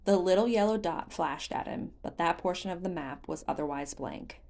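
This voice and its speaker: A woman's voice: lowish voice, moderate pace, gravelly, a little mellow. A woman reading scripted fantasy fiction aloud as an audiobook narrator.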